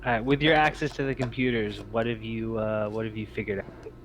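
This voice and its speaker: Male game master, lowish voice, halting narration of a roleplaying scene, faint noise on the recording. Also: steady tone, a bit bright. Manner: halting, pausing often mid-sentence.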